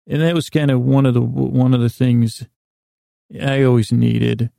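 Male sleep-podcast host, gravelly and flat in pitch, wandering and halting delivery. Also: no room sound, studio quality. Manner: meandering, halting, repeats and trails off. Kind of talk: rambling bedtime podcast monologue to help listeners sleep.

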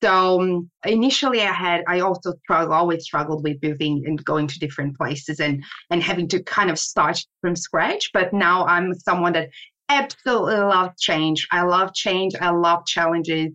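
A woman's voice: lowish voice, normal speed, bright, forceful tone. A woman native Portuguese speaker, reflective. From Brazil. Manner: reflective, with restarts and light laughs. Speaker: guest, board member and consultant. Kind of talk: relaxed interview conversation.

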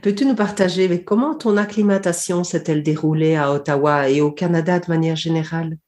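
A woman hosting a podcast, asking her guest polite, measured questions. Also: deep voice, darkish tone. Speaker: podcast host. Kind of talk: interview questions.

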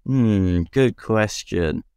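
A man's voice: lowish voice, very slow, creaky, darkish tone, clear, resonant tone, dry recording, studio quality. A man hosting a podcast in casual, matey, self-deprecating chat. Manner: matey, self-deprecating, often adds "mate". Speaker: podcast host, racing driver.

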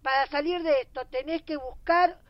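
An actress plays an Argentine mother in an audio drama, pitched very high. She is insistent and anguished, pleading, in a dry recording. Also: normal speed, no echo, highs cut off, lightly acted.

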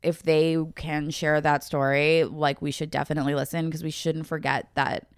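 A woman's voice: sits low, level tone, normal speed, smooth, no echo, clean studio sound. A woman with an American accent chatting informally as a podcast co-host, with a loose, colloquial way of talking. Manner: informal, peppers speech with "like". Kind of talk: casual chat.

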